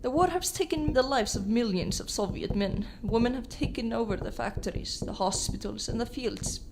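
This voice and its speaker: A woman's voice: fairly high pitch, moderate pace, lightly acted. Actress as Red Army woman sniper, stern, clipped formal phrasing, resolute, radio drama, noisy recording. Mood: grave, determined.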